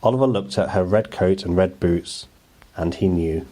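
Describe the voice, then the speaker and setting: Low-pitched man narrating a bedtime story. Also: normal speed, warm tone.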